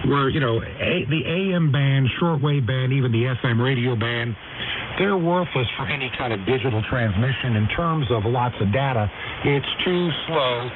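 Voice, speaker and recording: A man hosting conversational talk radio, rambling along and asking rhetorical questions. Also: mid-range voice. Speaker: radio host. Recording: tinny, noisy shortwave radio band.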